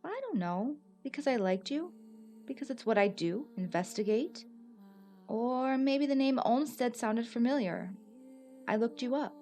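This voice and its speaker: Woman acting a steady, probing federal agent. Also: fairly high pitch, measured pace, faint music behind. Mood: defensive. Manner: questioning, reassuring. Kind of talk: radio play acting.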